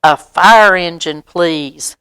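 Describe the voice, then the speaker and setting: A woman's voice: on the low side, slow pace, fairly bright tone, clear, resonant tone, no echo. A woman voicing a shy, earnest little boy who is practicing being brave, in a picture book read-aloud. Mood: plucky.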